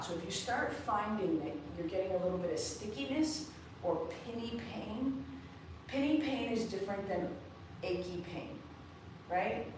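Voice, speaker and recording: A woman coaching a live mobility class, slightly raspy after a race, guiding each move step by step. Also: medium pitch, noisy background. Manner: relaxed, guiding students step by step. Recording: echoey room, far from the mic.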